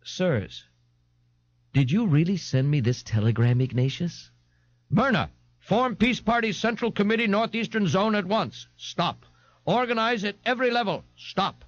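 Man voicing an earnest, clipped woman, raised pitch. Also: expressive, unhurried, highs cut off. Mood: urgent. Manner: clipped, declaiming. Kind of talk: audiobook character voice.

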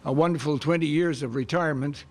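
An older man from Canada speaking at a community meeting with a loud voice, pausing often with fillers and restarts. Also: on the high side, fairly even intonation, husky. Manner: hesitant, with many fillers and restarts. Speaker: guest speaker for seniors. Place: high school music room, small stage. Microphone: stand mic close in front.

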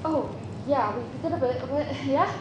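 A woman's voice: high-pitched, animated, moderate pace, lo-fi sound. A woman taking part in improvised live role-play, hesitant but eager, in a large room. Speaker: audience participant. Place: large dark indoor performance room. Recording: very echoey noisy crowded room, far mic.

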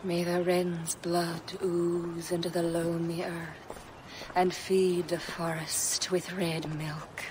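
A mysterious druid woman of the forest for a video game. Her voice sits on the low side, and she speaks gravely, as if every line were a rite. Faint ambient noise lies behind her. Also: level tone. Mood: solemn, ritual. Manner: incantatory, grave, measured. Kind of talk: video game cutscene acting.

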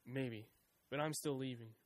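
A man's voice: mid-range voice, steady tone, a little slow, dry recording, lightly acted. A man acting in an audio drama as a scared, defiant young man on the run, with a nervous, stammering manner. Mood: regretful.

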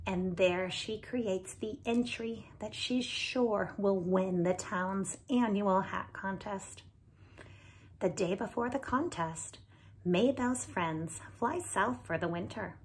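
Slow, expressive woman teacher reading aloud. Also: medium pitch, slight background noise. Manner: expressive, slow, talks to listeners as friends. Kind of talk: children's read-aloud. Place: indoors, small room at home.

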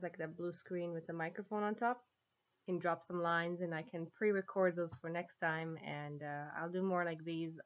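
A woman in her twenties chatting casually as a vlogger, recorded on a webcam at home. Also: on the low side. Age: 20s. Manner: giggly. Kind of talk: casual chatty app demo. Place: small room at home. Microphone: webcam at desk distance. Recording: dull lo-fi webcam sound.

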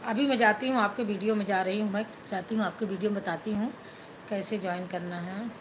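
A woman's voice: medium pitch, fairly even intonation, a little fast, background noise. A middle-aged woman from Madhya Pradesh hosts a casual live stream from a small indoor room. She chats on her phone, answers viewers' comments and thanks them again and again, mixing English words into her talk. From Madhya Pradesh, India. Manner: chatty, thanks viewers over and over, mixes English into Hindi. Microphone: phone held at arm's length.

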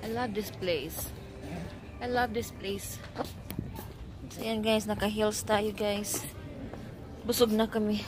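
A woman's voice: mid-range voice, steady tone, takes it slowly, long pauses. A young woman chatting cheerfully to her phone camera for a casual vlog, laughing often. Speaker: vlogger. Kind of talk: casual travel vlog, mixing English and Tagalog. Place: restaurant and casino. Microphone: handheld phone. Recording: noisy crowded place with music.

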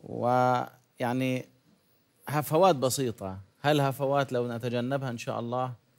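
A man's voice: medium pitch, steady tone, moderate pace, creaky. A man judging a recitation contest, giving friendly, detailed feedback and demonstrating sounds. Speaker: competition judge. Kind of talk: spoken critique.

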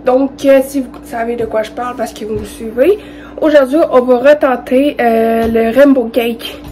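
A woman's voice: fairly high pitch, steady tone, normal speed, warm tone, faint music behind. A young woman with a Quebec French accent and a congested, cold-affected voice, giving an informal, hesitant cooking tutorial to camera. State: has a cold. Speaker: vlogger. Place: home kitchen. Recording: clear background noise.

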